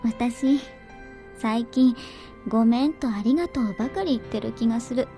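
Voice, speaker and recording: A frail, sweet girl from a visual novel, voiced by a woman. Her voice is high and very mellow, gentle in temper. Faint game music plays behind her. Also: quick pace, close to an everyday voice. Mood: wistful. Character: frail, gentle ailing girl, quietly cheerful. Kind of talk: Japanese visual novel voice acting.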